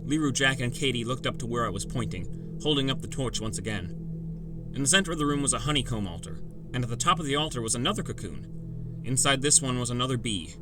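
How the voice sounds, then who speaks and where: A man's voice: medium pitch, expressive, fast. A man narrating a first-person fantasy audiobook as a scripted story. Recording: loud music bed.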